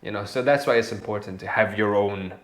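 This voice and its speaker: A man's voice: lowish voice, brisk. A man hosting a casual podcast conversation in a chatty, jokey way, often acting out imagined quotes. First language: Hungarian and Romanian. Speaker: podcast host. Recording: roomy, echoey sound.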